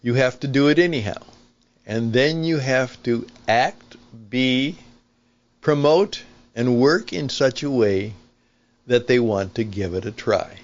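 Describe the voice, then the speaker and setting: An older man hosting a talk show with a conversational opinion monologue, speaking in an emphatic, deliberate, explanatory way. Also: mid-range voice, lively intonation, dull audio. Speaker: podcast host and veteran broadcaster.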